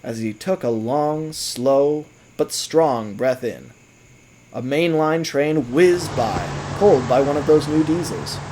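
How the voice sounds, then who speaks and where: A man narrating a scripted audio drama as a storyteller, with theatrical, deliberate phrasing. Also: on the high side, lively intonation, a little mellow, slight background noise, poor audio.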